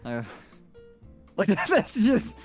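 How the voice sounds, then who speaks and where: A man's voice: sits high, wildly swooping pitch, drawn-out words. Man chatting with faint music behind. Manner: laughing often, swearing freely, rambling. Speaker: guest on a livestream call. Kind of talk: casual chat.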